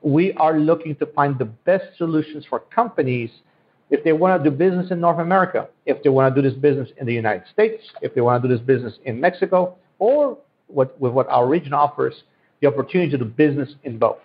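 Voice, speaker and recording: A man's voice: a little high, fast talker. A man from Central Europe, a native Polish speaker, giving earnest, promotional answers in a podcast interview and often repeating his phrases. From Central Europe, born in Poland, raised in Germany. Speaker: economic development guest. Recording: dull, lo-fi audio.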